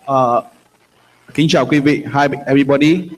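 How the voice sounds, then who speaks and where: A man with a Vietnamese accent and Vietnamese as his first language, giving a halting testimony in simple, broken English at a conference. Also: mid-range voice, poor audio. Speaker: guest speaker giving testimony. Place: conference room.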